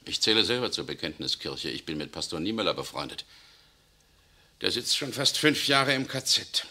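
A man acting in a 1960s radio play as a tense German SS officer who secretly resists, speaking urgently, swinging between outbursts and hushed confession. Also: lowish voice, slight background noise, heavily acted. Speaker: radio drama actor.

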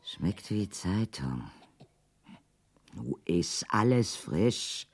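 Slow, weary, careworn housewife. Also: female voice, rumbling low, very animated, gravelly, husky, dry recording, full character voice. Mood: reproachful, weary. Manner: slow, halting recitation, reciting chores and puzzle words to herself. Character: weary, careworn housewife nursing a sick husband, plaintive and stubborn. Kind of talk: German radio drama acting. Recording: studio radio play with music underneath.

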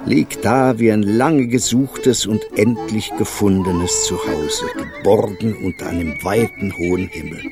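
A man's voice: lowish voice, a little slow, few pauses, music in the background. A man narrating a radio fairy tale as a theatrical, lyrical, wistful storyteller who lingers on his phrases. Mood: tender, lyrical.

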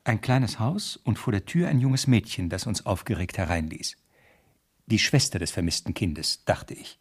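An earnest young constable narrating a 1970s radio crime play. His voice sits fairly low and has a husky, unclear edge. He sounds like a serious newcomer. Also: lively intonation, moderate pace. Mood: reflective narration. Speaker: actor, narrating protagonist.

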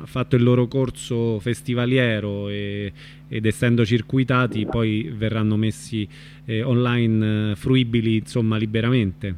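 A man's voice: lowish voice, little pitch movement, measured pace, darkish tone, slight background noise. A man interviewing a guest informally, putting his questions together in tentative, broken phrases. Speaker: radio interviewer.

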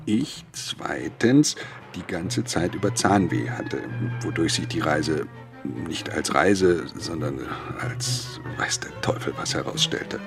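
An actor playing a nervous, stubborn railway engineer in his forties in a German radio play, halting and self-justifying, with music under him. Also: low voice, animated, gravelly, exaggerated voice. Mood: defensive, halting. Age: 40s. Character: nervous, stubborn forty-year-old railway engineer, male.

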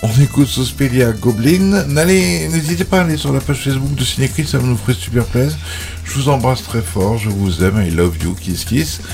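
A man's voice: mid-range voice, animated, normal speed, vocal fry, darkish tone, faint music behind. Chatty man hosting a radio show. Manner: chatty, affectionate, jokey sign-off. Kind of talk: casual radio film-music show.

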